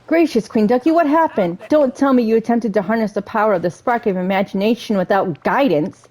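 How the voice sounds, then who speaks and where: A woman's voice: fairly high pitch, fairly quick, darkish tone, lightly acted. Woman reading as a fussy, pompous old wizard. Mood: concerned scolding. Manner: formal, pompous phrasing. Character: learned, fussy old male wizard, indignant and formal.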